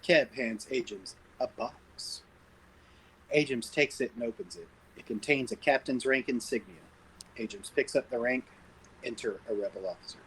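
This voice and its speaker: A man's voice: mid-range voice, normal speed, a bit bright, slightly rough, poor audio. A man reading stage directions as narrator at an amateur table read over an online call. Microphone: group online call.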